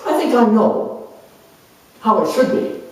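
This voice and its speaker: Man acting a self-assured politician, slow, echoey. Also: sits high, lively intonation. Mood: opinionated, emphatic. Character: proud, charming, opinionated male career politician turned world judge. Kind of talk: dramatized first-person character monologue. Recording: roomy echo, distant microphone.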